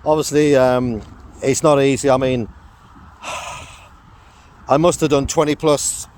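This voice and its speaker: A middle-aged man with a croaky throat, chatting casually on a walk with his dog in a rambling vlog. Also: medium pitch, steady tone, takes it slowly, a little mellow. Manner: rambling, chatty, lots of fillers and laughs. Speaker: vlogger.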